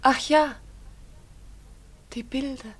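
A woman's voice: sits high, fairly even intonation, takes it slowly, slightly rough, dry recording, exaggerated voice. An actress in a radio drama playing an earnest, naive young village girl with a hesitant manner. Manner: hesitant, earnest, often hushed. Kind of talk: German radio drama acting.

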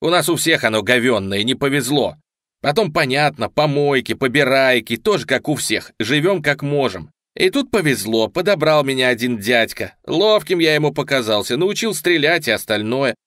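A man voicing a menacing young male criminal who speaks slowly and coldly, with contempt. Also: mid-range voice, animated, fairly bright tone, dry recording, clean studio sound, close to an everyday voice. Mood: bitter. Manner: slow, cold, contemptuous.

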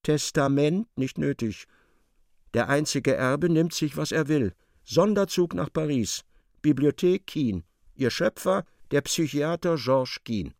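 An actor narrating a literary radio play as a grave, intense storyteller with deliberate delivery. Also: male voice, on the high side, animated, creaky, darkish tone, dry recording, clean studio sound, heavily acted.

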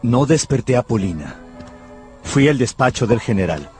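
An actor playing an earnest, melancholy tutor who tells his own story in a measured, intimate way in a radio drama. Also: medium pitch, very animated, a little mellow, close to an everyday voice. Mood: sober. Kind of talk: first-person radio drama narration. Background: music behind the voice.

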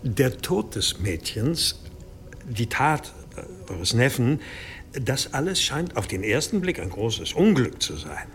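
An actor playing an obsequious, scheming medieval monastery exorcist in an audio drama, deferential, hesitant and persuasive. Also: male voice, mid-range voice, sing-song, takes it slowly, a bit bright, slight background noise, heavily acted. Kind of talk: German audio drama acting.

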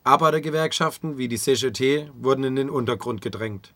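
Man, scripted documentary narration, radio feature reader, medium pitch, very little room sound. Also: a little fast, fairly bright tone, no echo.

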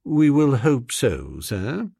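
A man voicing a discreet, deferential English valet in an audiobook, formal, measured and deadpan. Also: medium pitch, vocal fry, slightly rough, no echo, clean studio sound, full character voice. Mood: grave.

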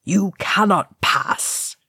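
A woman's voice: on the low side, a little mellow, breathy edge, no room sound, clean studio sound, heavily acted. A woman performing a stern, commanding old wizard. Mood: commanding, defiant. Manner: grim, drawn-out declamation. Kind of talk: dramatic storybook character reading.

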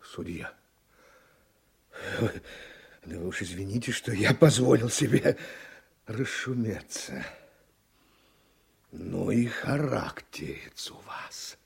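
An actor playing a deferential, unhurried male witness in a radio play, with a gravelly voice. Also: on the low side, expressive, heavily acted. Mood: apologetic. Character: gravelly, unhurried man testifying to a judge. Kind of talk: Soviet radio play acting.

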